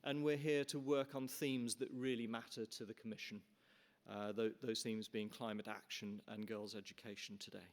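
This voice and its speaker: A man's voice: fairly low pitch, little pitch movement, normal speed. A middle-aged man from Canada, the chair, giving an earnest formal welcome speech in a conference hall with frequent restarts and fillers.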